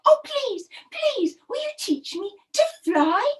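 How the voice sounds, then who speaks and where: A woman's voice: extremely high pitch, sing-song, slow pace, pitched above the performer's own voice, exaggerated voice. A woman doing a pleading little turtle. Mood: begging. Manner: pleading, breathless with wonder. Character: hopeful little male turtle, pleading and eager.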